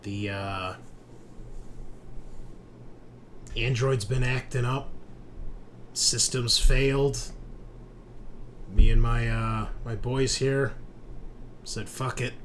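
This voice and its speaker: A man voicing a bitter, foul-mouthed woman, a former space station manager held prisoner, grim and halting in a tabletop game. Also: mid-range voice, a little hiss or hum, lightly acted. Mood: grim, weary. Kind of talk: tabletop game role-play.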